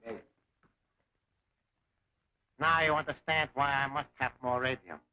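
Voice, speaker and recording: Cold enemy mastermind, 1940s serial: imperious, precise, very forceful bright voice, dull vintage soundtrack. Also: male voice, medium pitch, a little slow. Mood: grandiose, menacing. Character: commanding villainous enemy spymaster, a cold scientist. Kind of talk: 1940s film serial acting.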